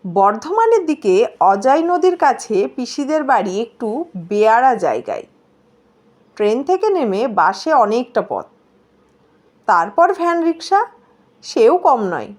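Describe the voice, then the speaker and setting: A woman narrates a story from a script. Her voice sits high and moves through wide, lively swings of pitch as she reads. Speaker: story reader.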